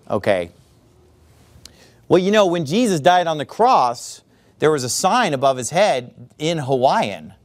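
Man, middle-aged, pastor, high voice, very animated delivery, sarcastic, emphatic outbursts, church sermon.

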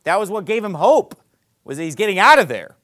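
High-voiced man preaching emphatically. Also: big pitch swings, fairly quick. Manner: emphatic preaching, swinging between loud peaks and low drops; sarcastic asides. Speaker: pastor. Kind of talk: sermon.

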